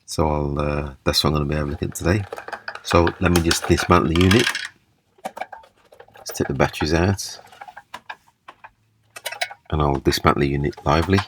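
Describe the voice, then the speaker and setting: A man giving a casual, hands-on repair demonstration, explaining haltingly step by step. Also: extremely low pitch, noisy background. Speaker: repair video presenter. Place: small indoor room at a table.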